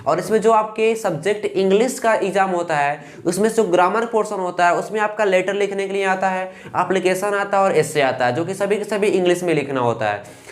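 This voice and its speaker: A young man with an eastern Uttar Pradesh Hindi accent teaching a lesson, reading English lines slowly and carefully. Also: high-pitched. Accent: Hindi speaker from eastern Uttar Pradesh. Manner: slow and careful when reading English lines, fillers "ठीक" between steps. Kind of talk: explaining a lesson.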